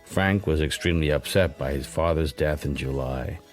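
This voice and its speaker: An actor playing a shrewd, suspicious middle-aged man who narrates his private thoughts in a measured, dry, musing way for a radio drama. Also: rumbling low, soft background music. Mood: wry musing. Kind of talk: intimate first-person radio drama narration.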